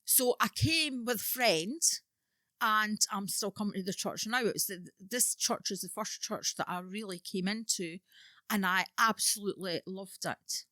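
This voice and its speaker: A woman in her fifties tells her story as a podcast guest, relaxed and unhurried, often letting her sentences trail off softly. Her voice is bright and clear. The mic is close and dry, with no room sound. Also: medium pitch, dry recording. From Scotland. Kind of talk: casual interview chat.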